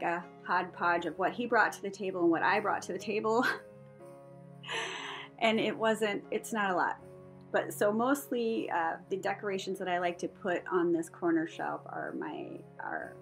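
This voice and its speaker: Woman, fifties, slightly low voice, casual vlog narration, chatty and rambling, music in the background. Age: 50s. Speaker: craft vlogger. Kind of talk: casual home vlog voice-over. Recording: music behind the voice.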